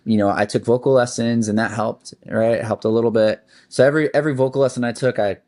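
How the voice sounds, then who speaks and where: A man of middle pitch talks in a loose, easygoing way, a singer chatting as a podcast guest. He rambles, circling back with fillers as he works out his thoughts. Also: fast talker. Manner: relaxed and rambling, with frequent "like" and "um". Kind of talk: casual interview chat.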